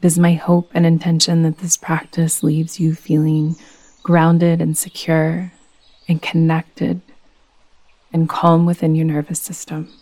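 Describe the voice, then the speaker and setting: A woman leading a guided meditation as a yoga nidra teacher, speaking in a soothing, gentle, unhurried manner. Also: low voice, completely flat delivery.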